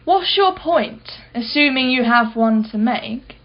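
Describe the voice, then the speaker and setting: A woman's voice: on the high side, expressive, a little slow, dull audio. An actress playing a wealthy, condescending and sardonic female art collector in a radio play. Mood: defensive. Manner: condescending, mocking, self-satisfied.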